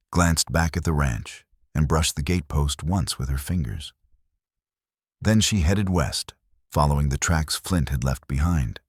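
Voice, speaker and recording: A man narrating a scripted western story in a measured, solemn, dramatic storytelling manner. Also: rumbling low, dry recording, studio quality.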